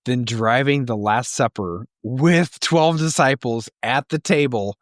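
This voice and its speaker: A man co-hosting a comedy podcast in excitable, casual banter, easily breaking into laughter as he talks. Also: mid-range voice, measured pace, no room sound, studio quality. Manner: laughs readily, breaking up mid-sentence.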